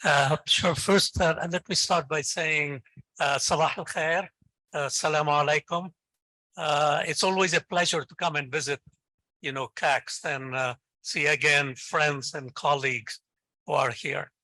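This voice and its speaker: An older man from Lebanon speaks English with a Lebanese accent as a native Arabic speaker. His voice is bright and a little high, captured dry and close. He tells his story with enthusiasm, like a professor encouraging people. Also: steady tone, a little slow, dry recording. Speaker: invited guest, professor and scientist. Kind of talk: conversational interview answer, storytelling.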